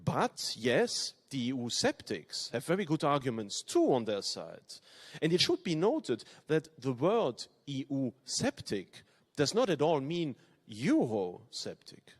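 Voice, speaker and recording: A man from Belgium whose first languages are German and French, giving a measured, formal opening address as panel chair. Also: mid-range voice, wildly swooping pitch. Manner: measured, formal, reads from a text. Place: conference hall.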